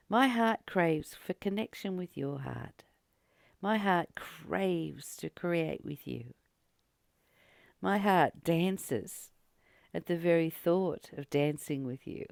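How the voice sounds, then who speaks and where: A woman giving a spiritual teaching, reading and commenting informally in an earnest, deliberate way, with frequent pauses and emphatic repetition. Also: deep voice, vocal fry, a little mellow, no room sound, clean studio sound. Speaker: spiritual teacher and channel host.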